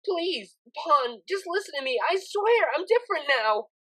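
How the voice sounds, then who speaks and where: A woman's voice: very high-pitched, sing-song, a little slow, a bit bright, voice pushed up, heavily acted. A woman voicing a troubled young unicorn mare in a story reading, defensive and guarded, speaking in curt, pleading phrases. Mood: tearful pleading.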